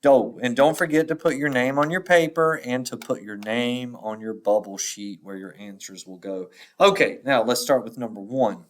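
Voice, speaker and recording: A man speaking as a teacher, reading test questions aloud in a deliberate, instructional way with pauses between the choices. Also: medium pitch.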